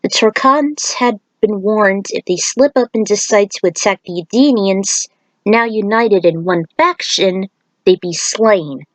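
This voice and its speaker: A woman's voice: medium pitch, no room sound, low-quality recording. A woman reading scripted story narration as a storyteller, recorded close as a voice-over.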